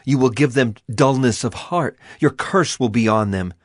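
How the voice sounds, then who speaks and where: An actor playing a grieving, afflicted prophet in a dramatized scripture reading, with solemn, emphatic and deliberate phrasing. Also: male voice, mid-range voice, dry recording, clean studio sound, natural voice. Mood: pleading. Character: grieving, afflicted male prophet lamenting and praying.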